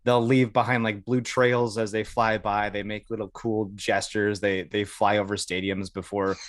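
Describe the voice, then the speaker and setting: American guy on a podcast, lowish voice, telling stories casually, dry close sound. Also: flat delivery, moderate pace, dry recording. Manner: informal, swears casually, quotes dialogue. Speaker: podcast guest, Air Force veteran. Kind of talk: casual storytelling.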